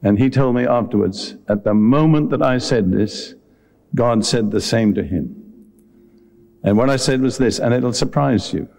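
A man preaching a sermon to a congregation, speaking earnestly and deliberately and addressing his listeners directly. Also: medium pitch, vocal fry, darkish tone. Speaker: visiting preacher. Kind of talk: sermon with Bible teaching and altar call. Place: live before a congregation at a meeting.

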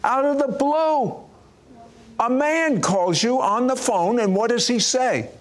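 A man hosting a religious talk show, asking lively leading questions and building suspense around his guest's story. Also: extremely high pitch, takes it slowly. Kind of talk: interviewing a guest.

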